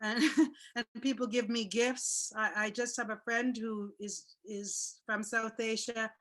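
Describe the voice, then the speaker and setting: A woman telling a personal story in casual chat over a video call, with a loud, chatty way of talking. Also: on the high side, steady tone, takes it slowly. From Jamaica. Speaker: guest speaker.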